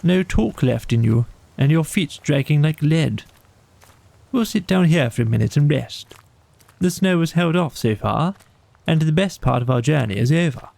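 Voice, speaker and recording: Man playing a brisk, chummy storybook rat. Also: a little high, animated, creaky, no echo. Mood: kindly, concerned. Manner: chummy, old-fashioned British gentlemanly speech. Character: brisk, friendly male water rat, kindly companion.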